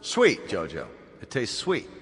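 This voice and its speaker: An actor playing an easygoing, reasonable military colonel from a spaceship in a radio drama, with a measured, assured delivery. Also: male voice, on the low side, huge pitch swings, a bit bright, heavily acted. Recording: radio drama with music underneath.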